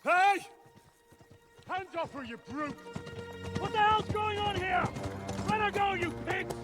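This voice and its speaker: An actor playing an aggressive, furious medieval soldier who shouts coarse commands in a video game cutscene. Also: male voice, very high-pitched, takes it slowly. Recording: loud music over the voice.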